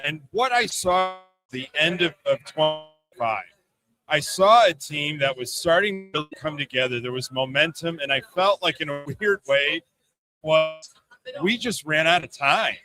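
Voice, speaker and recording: A man with a bright, punchy voice pitched a little high talks sports casually as a guest. He leans on his points and restates them for emphasis. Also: a little slow, faint music behind, poor audio.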